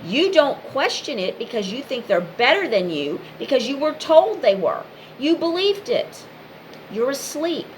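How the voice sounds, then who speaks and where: A woman's voice: on the high side, slight background noise, low-quality recording. A woman giving an impassioned, informal monologue straight to the listener, speaking in emphatic, repetitive short phrases.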